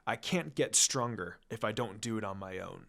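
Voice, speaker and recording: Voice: medium pitch, animated, moderate pace, vocal fry, a bit bright, voice pushed down. A narrator voicing an aloof young ninja boy in a story reading, terse, serious and dismissive.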